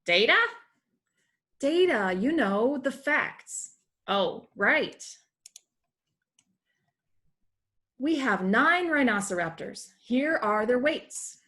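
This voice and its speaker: A woman voicing comic-book monster characters who talk through math in expressive, emphatic storybook dialogue. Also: on the high side, slow pace. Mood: prompting.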